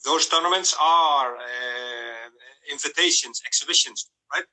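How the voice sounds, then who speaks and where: Sharp, forceful male interviewer, halting delivery. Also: mid-range voice, low-quality recording. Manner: halting, searching for words. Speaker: interviewer hosting a live stream. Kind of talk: casual live interview. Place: remote live video call.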